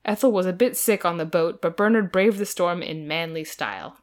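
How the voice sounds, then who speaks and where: A woman's voice: fairly low pitch, fairly quick. A woman co-hosting a podcast, moving between dramatic book reading and informal chat. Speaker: podcast co-host.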